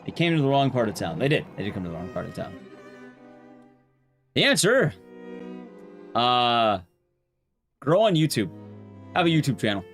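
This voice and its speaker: Guy streaming a game, super animated pitch swings, rambly casual chat, blunt, faint music behind him. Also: moderate pace, pauses often. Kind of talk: casual live-stream chat while gaming.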